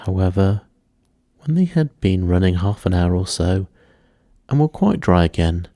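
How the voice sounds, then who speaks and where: A man reading a bedtime story in a slow, soothing, measured and hushed way. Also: deep voice, sing-song, no room sound, studio quality. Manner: measured, hushed, gently paced delivery. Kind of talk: slow, soothing scripted storybook narration for sleep.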